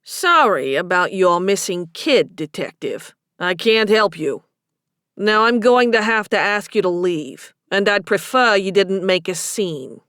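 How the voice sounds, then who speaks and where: A woman voicing a flirtatious, cagey male bartender and club owner with a Boston accent and a smooth, unhurried way of talking, for an audiobook. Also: medium pitch, animated, a bit bright, no echo, studio quality, voice pushed down. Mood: cold, dismissive.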